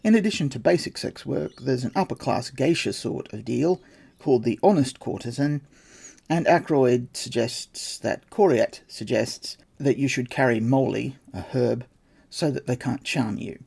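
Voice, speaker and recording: A man's voice: medium pitch, normal speed, gravelly, a little mellow, slightly rough. A man hosting a podcast, reading his research notes aloud with dry, wry asides. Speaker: podcast host.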